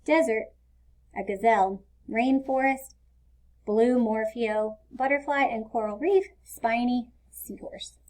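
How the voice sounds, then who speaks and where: Higher-voiced woman reading a children's storybook. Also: slow pace, darkish tone, poor audio. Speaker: storybook reader. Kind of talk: scripted children's read-aloud narration.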